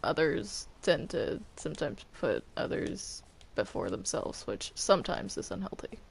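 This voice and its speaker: A woman chatting casually and a little haltingly while playing a game with friends. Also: low voice, very animated, vocal fry, breathy edge. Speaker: player in a Minecraft roleplay group. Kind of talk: casual gaming chat.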